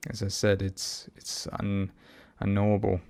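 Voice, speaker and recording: A man recording a reflective personal audio log, speaking haltingly as he searches for words and often pauses mid-thought. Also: lowish voice, monotone, creaky, a little mellow, smooth. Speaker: podcast host.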